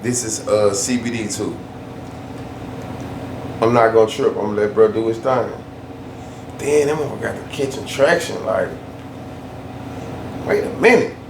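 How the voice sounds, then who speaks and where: A man's voice: lowish voice, normal speed, long pauses. A man ranting casually in a loose, slangy way, often repeating himself for emphasis. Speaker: YouTube storyteller. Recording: echoey room, faint background hum.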